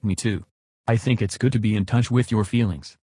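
Low-voiced earnest chatbot companion, acted by a man. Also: steady tone, brisk, dark tone, husky, no echo, clean studio sound. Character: male chatbot companion, earnest, agreeable, curious.

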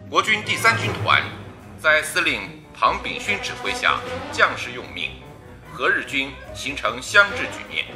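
An actor playing a 1930s wartime radio news announcer, reading a formal bulletin in a declamatory way. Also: male voice, a little high, big pitch swings, measured pace, music in the background. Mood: formal, urgent.

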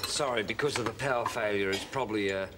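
An actor playing a jittery, suspicious housemate who makes nervous puns in a sitcom. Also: male voice, lowish voice, fast talker, heavy background noise, close to an everyday voice. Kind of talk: sitcom acting. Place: indoor studio set, dining room.

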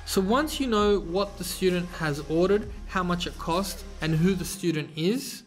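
High-voiced man explaining a tutorial. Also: a little slow, soft background music. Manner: relaxed, explanatory, frequent fillers like "you know". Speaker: tutorial host. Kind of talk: screen-recorded tutorial narration. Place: voice-over over slides.

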